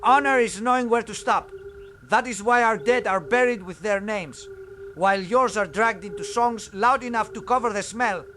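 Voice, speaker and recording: Elf commander, male actor, notably high-pitched, formal, icy and disdainful, fantasy drama, faint insects and frogs behind. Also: moderate pace, noisy background, lightly acted. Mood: cold threat. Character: haughty, cold elf patrol leader. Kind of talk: fantasy audio drama acting.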